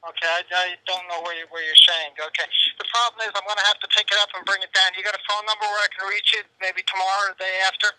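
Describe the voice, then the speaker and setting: A man's voice: high-pitched, little pitch movement, very fast, hardly any pauses, bright, forceful tone, dry recording, poor audio. A man talking casually on a telephone as a private seller, matter-of-fact and businesslike. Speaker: private seller taking a phone call. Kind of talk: casual phone conversation.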